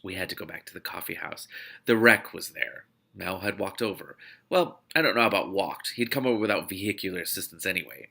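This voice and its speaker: Man, expressive story reading, slightly deep, gravelly edge, dramatic delivery. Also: fairly quick. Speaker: reader and host of a read-aloud show. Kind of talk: expressive audiobook-style reading with spontaneous reactions.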